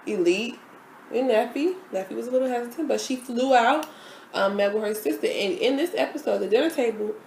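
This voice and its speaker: A woman's voice: a little high, a little fast, creaky, darkish tone. A woman co-hosting a casual TV recap chat, giving emphatic, opinionated takes. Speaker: co-host. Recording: echoey room with faint noise.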